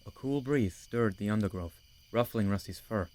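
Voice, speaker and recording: A man's voice: lowish voice, normal speed, darkish tone. A man reading scripted story narration as the narrator of an audiobook.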